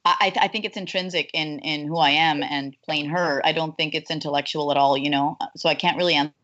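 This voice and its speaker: A young woman from Colombia chats with animated, bubbly energy and plenty of fillers. Her voice sits a bit low, and the call audio sounds dull and lo-fi. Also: a little fast. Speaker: guest voice actor. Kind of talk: casual interview chat.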